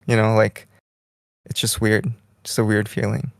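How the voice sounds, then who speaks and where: Creaky, flat-voiced young man. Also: on the low side, moderate pace, no room sound, clean studio sound. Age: young adult. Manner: relaxed, rambling, heavy use of "like". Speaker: podcast co-host. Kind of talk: casual chat. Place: small bedroom, lying in bed.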